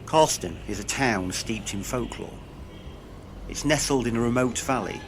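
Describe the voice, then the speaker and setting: A man's voice: medium pitch, moderate pace, slight background noise. A man narrating a scripted true-crime podcast as investigator, solemn and dramatic.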